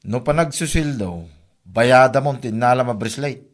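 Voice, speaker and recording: A man's voice: mid-range voice, animated, moderate pace, lightly acted. A man playing a plain-spoken husband who chides and advises his wife in a comedy radio drama. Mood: admonishing.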